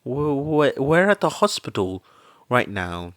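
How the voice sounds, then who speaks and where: A man's voice: mid-range voice, big pitch swings, measured pace, no echo, clean studio sound, pitched above the performer's own voice. A man voicing an anxious, tearful, apologetic mother in an audio story, stammering and shaky.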